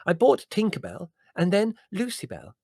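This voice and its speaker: A man's voice: high-pitched, wildly swooping pitch, moderate pace, creaky, dark tone, slightly rough, no room sound, studio quality, voice pushed up, full character voice. A man voicing a coy, fussy, earnest woman cousin in a storybook, emphatic and confiding. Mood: gushing, earnest. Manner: gushing, confiding, emphatic, easily exasperated.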